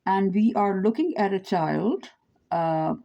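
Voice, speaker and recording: A woman's voice: medium pitch, expressive, slow, vocal fry, mellow, highs cut off. A woman teaching her framework in an informal lecture, meandering and thinking aloud, often checking in with "right?".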